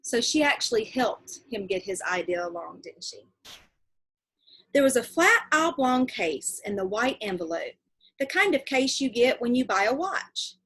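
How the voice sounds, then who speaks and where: A woman reads a storybook aloud in the manner of a teacher. Her voice sits fairly high and moves up and down in lively fashion, with a husky edge. She is expressive and explanatory, turning to her young listeners as she goes. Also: a little slow. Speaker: teacher reading a book aloud to pupils. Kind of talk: read-aloud storytelling with asides to children.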